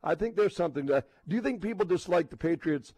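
A man hosting a casual sports radio talk show, speaking in a halting, repetitive way and often restarting his sentences. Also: fairly high pitch, expressive, a little mellow, slightly rough, no echo.

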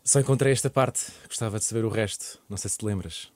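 A man with a European Portuguese accent hosting a podcast, asking relaxed, teasing questions in casual talk. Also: lowish voice, fast, dry recording, studio quality. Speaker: podcast host. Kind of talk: casual interview.

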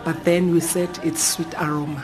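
A woman's voice: low voice, little pitch movement, moderate pace, over music. A woman, an a cappella singer, explaining things plainly in an interview. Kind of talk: casual interview talk.

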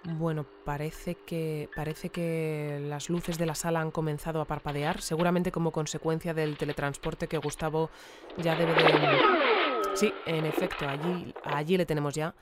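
A man's voice: a little high, animated, moderate pace, fairly bright tone, a little hiss or hum. A man playing a hesitant news reporter giving live commentary in an audio drama. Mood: tentative.

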